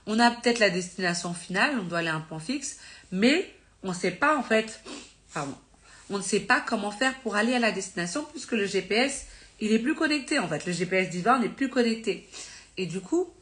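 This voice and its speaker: A woman's voice: mid-range voice, lively intonation, brisk, a bit bright. A woman with a slight cold, teaching about faith on a live stream in an informal, conversational way, full of fillers and self-corrections. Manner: earnest and encouraging.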